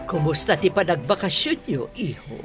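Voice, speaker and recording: A woman's voice: fairly low pitch, sing-song, moderate pace, music in the background. An actress with a Filipino accent playing a stern, class-proud middle-aged mother in a radio drama, scolding and domineering. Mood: probing.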